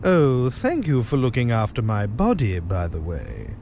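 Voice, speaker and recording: A man plays an ancient evil lord of darkness in an audio drama, smug and mocking. He speaks slowly with big swings of pitch and a mock-polite air, over faint noise. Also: huge pitch swings, a little mellow. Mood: smug, taunting.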